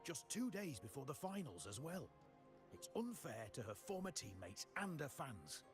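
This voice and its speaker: A fairly high-voiced indignant male fan at a fantasy arena. His pitch moves a lot, and music is clear behind him. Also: very animated, normal speed. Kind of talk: video game voice acting.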